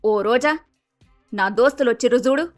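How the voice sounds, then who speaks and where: A woman's voice: a little high, moderate pace, faint music behind, lightly acted. A woman voicing a blunt, bossy middle-aged village mother-in-law with an emphatic Telangana Telugu accent, in a cartoon story. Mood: boastful, chatty. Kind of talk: acted dialogue in animated moral story.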